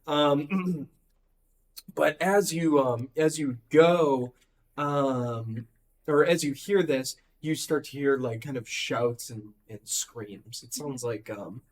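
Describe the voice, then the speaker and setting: A hoarse man losing his voice, running a tabletop game and narrating with many ums and restarts. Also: medium pitch. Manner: halting, full of ums and likes. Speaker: game master.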